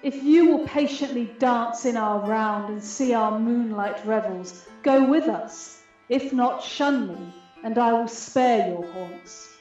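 A proud, aggrieved fairy queen acted by a woman declaims Shakespearean radio verse in a stately, unhurried way. Her voice sits fairly high and rings with very heavy echo, slightly muffled, with music underneath. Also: a little mellow. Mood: cold, defiant. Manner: stately, measured, declamatory delivery.

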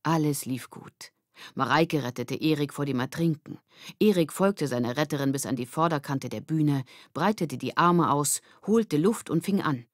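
A woman's voice: sits low, moderate pace, no room sound, studio quality. A woman reading a children's story as a scripted audiobook narration.

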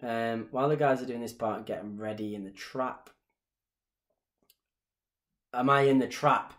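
A man's voice: mid-range voice, steady tone, a little slow, fairly bright tone. A man in his thirties with a Mancunian Irish accent, chatting casually with viewers during a live stream from his living room. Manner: chatty, gestures a lot while talking. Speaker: dance instructor answering viewer questions. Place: small living room at home, indoors. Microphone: webcam at desk distance. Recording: roomy home webcam sound.

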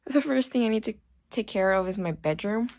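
A woman's voice: a little high, brisk. A young woman talking casually to her phone camera in her bedroom while she tidies up. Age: young adult. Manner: relaxed, chatty, talks to the camera. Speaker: vlogger. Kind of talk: casual vlog narration. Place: home bedroom, indoors. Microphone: phone camera held close. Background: lo-fi background music.

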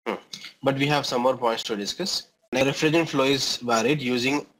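A man's voice: medium pitch, brisk, a bit bright, poor audio. A middle-aged man with an Indian accent giving an explanatory lecture as an engineering instructor, often checking understanding with short questions and tags.

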